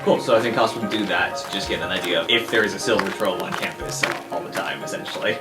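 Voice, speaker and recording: A man's voice: deep voice, quick pace, far from the mic. A man playing a tabletop roleplay game, casually improvising his character's story. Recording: roomy echo, music bed.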